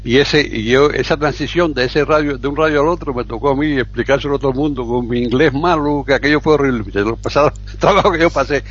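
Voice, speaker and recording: A man's voice: medium pitch, fast talker, few pauses, slightly rough, muffled recording, faint music behind. An older man with a Cuban accent, chatting on a radio talk show about his army days, often repeating and restarting words. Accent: Cuban Spanish. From Cuba. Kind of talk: casual radio chat.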